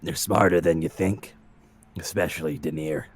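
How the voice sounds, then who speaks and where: A man voicing a sly, persuasive adventurer who bargains in a smooth, coaxing way. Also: a bass voice, steady tone, normal speed.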